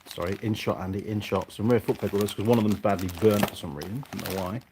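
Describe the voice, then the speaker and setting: Low-voiced man rambling in a noisy workshop. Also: quick pace. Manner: muttering to himself, hesitant, meandering. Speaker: vlog host and motorcycle mechanic. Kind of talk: casual rambling workshop vlog. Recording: noisy, clicks and rustling of parts.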